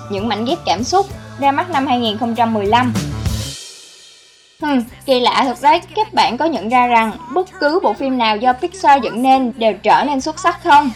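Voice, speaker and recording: High-voiced woman narrating over music. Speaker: host narrator of a film countdown video. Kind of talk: scripted narration. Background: background music.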